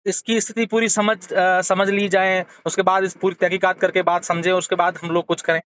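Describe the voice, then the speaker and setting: A man interviewing someone by phone, probing in a halting way and rephrasing his questions as he goes. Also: high-pitched, little pitch movement, a bit bright, clean tone, dry recording. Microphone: telephone. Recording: dull lo-fi phone call recording.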